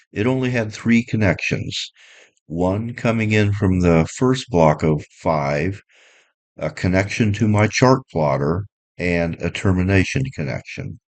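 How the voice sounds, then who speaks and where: A man's voice: deep voice, no room sound. A man giving a practical how-to narration in a plain, step-by-step way, like a boat owner explaining his gear. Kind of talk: practical how-to narration, partly read, partly off the cuff.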